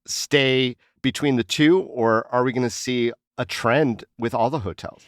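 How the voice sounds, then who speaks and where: A man's voice: medium pitch, fairly even intonation, measured pace, dry recording. An American man with a mid-range voice hosts a casual news discussion. The sound is close and dry, with no room around it. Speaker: podcast host.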